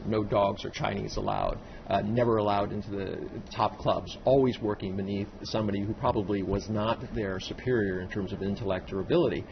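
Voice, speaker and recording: A man's voice: fairly low pitch, gravelly, muffled recording, a little hiss or hum. A man who is a guest on a studio panel, explaining his view in a reflective, conversational way.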